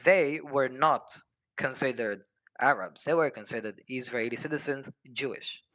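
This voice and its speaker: A man in an unscripted debate as a caller, halting and flustered, stammering and repeating his words as he argues. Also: medium pitch, fairly bright tone, poor audio. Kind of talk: unscripted argument.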